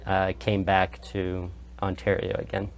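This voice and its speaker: A man's voice: deep voice, completely flat delivery, a little slow, creaky, a little hiss or hum. A young man who grew up partly in Poland, talking as a podcast guest in a hesitant, rambling way with many fillers. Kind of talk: casual interview talk.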